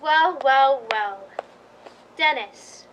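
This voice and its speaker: A woman's voice: high voice, expressive, drawn-out words, lo-fi sound, background noise, heavily acted. A woman playing an imperious, affectedly gracious leading lady in a radio drama, theatrical and condescending.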